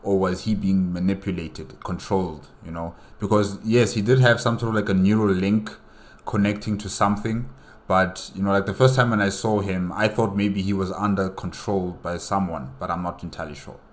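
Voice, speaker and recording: A man's voice: low-pitched, steady tone, moderate pace. A man giving casual reaction commentary in a musing, hesitant way, often putting questions to himself. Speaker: host reacting to a game movie.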